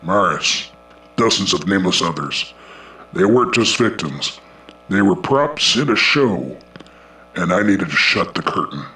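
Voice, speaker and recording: A man playing a grim, world-weary dragon detective, terse and deadpan, in a noir radio play. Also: low-pitched, a little slow, exaggerated voice. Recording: echoey, noisy background.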